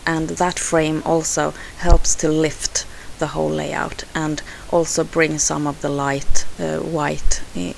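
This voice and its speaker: A woman's voice: deep voice, vocal fry, a little hiss or hum, low-quality recording. A woman from Sweden with a Swedish accent, a native Swedish speaker, narrating a craft video in a hesitant way with frequent fillers and restarts. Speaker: scrapbooking crafter.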